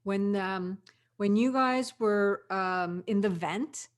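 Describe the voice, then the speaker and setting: A woman's voice: medium pitch, slow. A woman in an audio drama cast, telling anecdotes in casual group chat.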